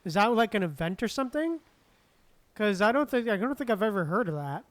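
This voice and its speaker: A man's voice: high voice, very animated, brisk, dry recording. A man chatting casually on a game live stream in a laid-back, slangy, giggly manner. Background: game music.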